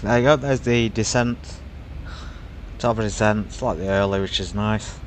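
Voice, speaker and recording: A man's voice: on the low side, fairly even intonation, normal speed, a little hiss or hum. A man giving casual, musing commentary while streaming a flight simulator, with frequent fillers.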